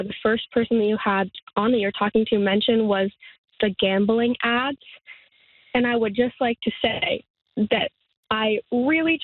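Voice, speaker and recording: A woman of twenty talking earnestly in a radio interview, halting and restarting, with light laughs. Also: mid-range voice, dry recording. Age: 20s. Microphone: phone. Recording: tinny phone line, dry.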